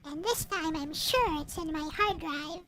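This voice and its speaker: Very high, childlike woman streamer. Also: unhurried, slight background noise. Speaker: streamer and visual novel writer. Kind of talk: casual livestream chat showing own work. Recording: loud music behind the voice. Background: loud music and game sound effects.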